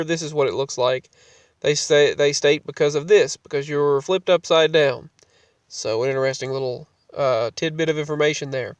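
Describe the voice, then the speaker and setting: A man's voice: on the high side, dry recording, studio quality, distant mic. A man with a cold, sounding congested, talking casually to his vlog audience and often restarting and repeating his phrases. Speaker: vlogger.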